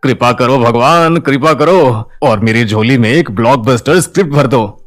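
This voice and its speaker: A man voicing a smooth, self-important film director in an animated moral story, with a measured, showy delivery. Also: medium pitch, soft background music, natural voice. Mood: pleading.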